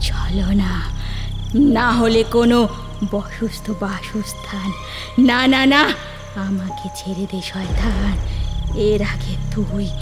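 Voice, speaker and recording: A woman playing a vengeful demon spirit in a horror audio drama, drawing out and repeating her words in anguished wails and snarls. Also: a little high. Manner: drawn-out, repetitive, anguished wailing and snarling. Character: vengeful female village demon spirit possessing a young woman.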